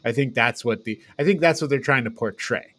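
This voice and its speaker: A man's voice: medium pitch, a little fast, bright, forceful tone, no echo. A man co-hosting a casual film review chat, talking informally with friends. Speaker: podcast co-host.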